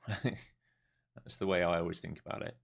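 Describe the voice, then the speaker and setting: A man's voice: low voice, fairly even intonation, takes it slowly, creaky. A man, chatting casually and bluntly while trading live on stream. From Europe. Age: 40s. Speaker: livestream day trader. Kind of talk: live market commentary and chat.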